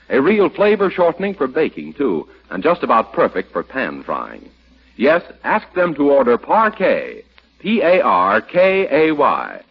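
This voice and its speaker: Male radio announcer, 1940s sponsor pitch, emphatic, animated intonation, slightly husky, muffled vintage recording. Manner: polished, emphatic salesman delivery.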